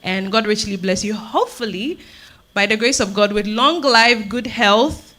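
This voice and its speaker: A woman's voice: mid-range voice, unhurried, bright, forceful tone. A woman with a Ghanaian accent giving an informal thank-you speech on stage as a theatre director.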